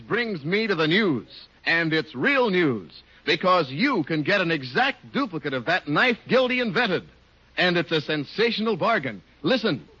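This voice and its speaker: A man's voice: high voice, pushed and bright. An American man announcing in 1950s radio advertising style, with emphatic, polished salesmanship. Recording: muffled vintage radio recording.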